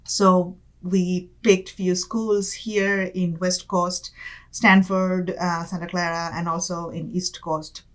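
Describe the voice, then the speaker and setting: Young woman from bangladesh chatting in an interview, lowish voice, lots of "like", laughs a bit. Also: little pitch movement, slow. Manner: chatty, many fillers and soft laughs. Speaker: guest. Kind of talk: casual interview chat. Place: campus studio.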